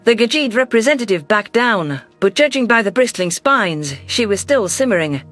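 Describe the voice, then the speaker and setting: A man narrating a science fiction story in an even, scripted storytelling delivery. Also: high voice, normal speed, soft background music.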